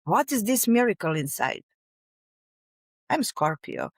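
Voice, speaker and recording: A middle-aged woman with a Serbian accent, speaking animatedly in an interview and often pausing to search for words. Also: mid-range voice, no room sound, clean studio sound. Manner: animated, searching for words, frequent "you know". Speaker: guest, fascia researcher and author. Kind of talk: conversational interview.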